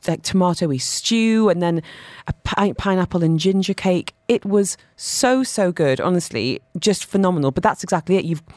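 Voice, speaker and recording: A woman's voice: fairly low pitch, a little mellow, no room sound. Effusive woman radio host, close dry sound. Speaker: radio food show host. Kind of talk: radio presenting and interview chat. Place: radio studio.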